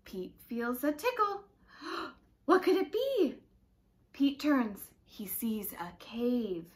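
A young woman reading a picture book aloud to small children in an expressive, dramatic way. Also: high voice, slow pace. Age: young adult. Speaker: children's story time reader. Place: living room indoors, by a brick fireplace.

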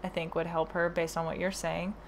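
A woman's voice: lowish voice, level tone, fairly quick, vocal fry. A woman hosting a podcast about her horses, talking casually with plenty of hesitant fillers. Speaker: podcast host and horse owner. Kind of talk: casual conversation.

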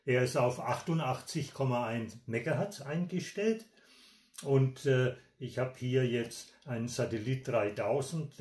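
An older man presents a technical demonstration at a slow pace. He is deliberate and halting, often pausing to find the next word. The room around his voice rings with echo, as if the microphone sits some way off. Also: medium pitch, darkish tone. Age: 60s or older. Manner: halting, deliberate, with frequent hesitations. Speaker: presenter of a technical radio channel. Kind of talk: explanatory product demonstration. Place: small indoor room. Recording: echoey room, distant microphone.

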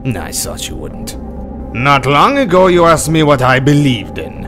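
A man's voice: on the high side, very animated, measured pace. A man voicing a treacherous, coolly professional spy with a condescending, theatrical manner in a fan-dubbed comic. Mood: lecturing, menacing. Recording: music behind the voice.

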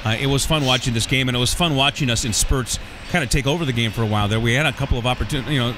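A man's voice: mid-range voice, very fast. A middle-aged man asking questions as a sports interviewer in a casual postgame chat. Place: small cinder-block room indoors at a rink. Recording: noisy arena room, steady background din.

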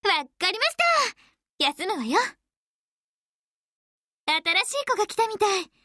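A woman playing a proud, battle-hungry young warship woman in an anime game, with a confident, theatrical, forceful delivery. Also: very high-pitched, lively intonation, unhurried, no room sound, clean studio sound, exaggerated voice. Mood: composed. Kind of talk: anime game character voice lines.

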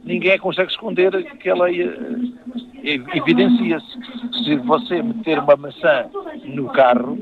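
A man's voice: fairly high pitch, fairly even intonation, a little slow. Man, fifties, mayor, outdoor fair interview, handheld mic, narrow tinny sound, busy crowd. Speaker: town mayor. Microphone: handheld mic held close. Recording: thin phone-like sound, crowd behind.

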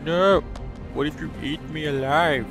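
A man's voice: fairly high pitch, fairly even intonation, a little slow, over music. A man hosting a gaming video with casual, goofy commentary, often repeating himself playfully. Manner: goofy, repeats and echoes lines.

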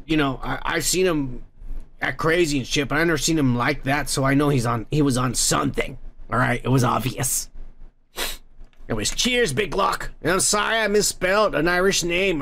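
A man's voice: a little high, moderate pace, gravelly, fairly bright tone. An American man hosting a podcast at home, rambling through viewer comments with lots of swearing and fillers. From United States. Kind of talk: casual profane commentary. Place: dim room at home.

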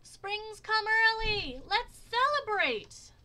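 A woman voicing a hungry, scheming young male groundhog who talks high and loud in a storybook. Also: moderate pace. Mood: gleeful.

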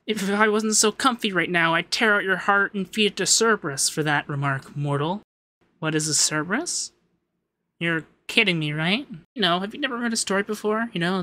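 A man voicing a haughty young femboy demon lord, pompous but easily flustered and secretly soft, in an ASMR roleplay. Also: sits high. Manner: pompous commands that slip into flustered cooing.

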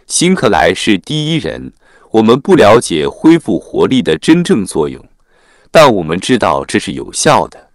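A man reads scripted material as a narrator, in a formal and measured way. His voice sits on the low side and moves through a lively range of intonation. The sound is dry, with hardly any room on it. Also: no echo. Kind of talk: scripted narration, reading an article aloud.

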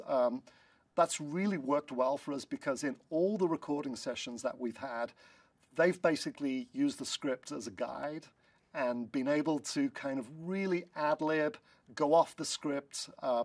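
A man's voice: a little high, no echo, recorded from a distance. Middle-aged man, dry studio interview. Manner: relaxed, chatty, frequent ums and uhs. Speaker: film producer. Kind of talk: press junket interview. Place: studio in front of a blue backdrop.

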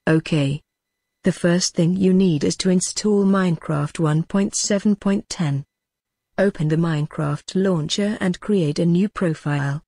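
A woman's voice: on the low side, a little slow, warm tone, no room sound. A woman reading step-by-step instructions in a dry, matter-of-fact way. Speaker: tutorial narrator. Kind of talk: scripted narration.

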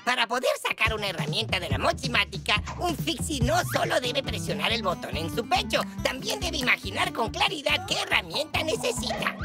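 An actor voicing a kindly elder teacher, a tiny cartoon creature, explaining things in an instructive, expressive way. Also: medium pitch, a little fast, few pauses, over loud music, close to an everyday voice. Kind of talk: animated cartoon dubbing, explanatory narration.

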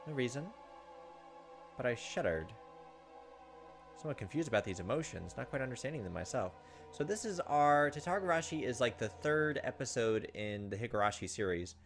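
A man, perhaps in his thirties, reading visual novel narration aloud and commenting, with dramatic, halting delivery. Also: mid-range voice, big pitch swings. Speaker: gaming video host. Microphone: desk microphone close up. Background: game music.

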